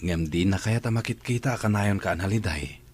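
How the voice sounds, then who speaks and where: A man acting a gentle, tender father in an Ilocano radio drama, coaxing as if to a small child. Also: low voice, brisk, slightly rough. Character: gentle father soothing his little princess.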